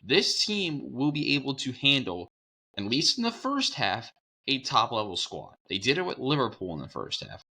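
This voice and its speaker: Hoarse young man with a bright voice. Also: medium pitch, huge pitch swings, moderate pace. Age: young adult. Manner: rambling, self-correcting, upbeat. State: hoarse with a cold. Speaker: sports show host. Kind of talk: casual sports preview talk.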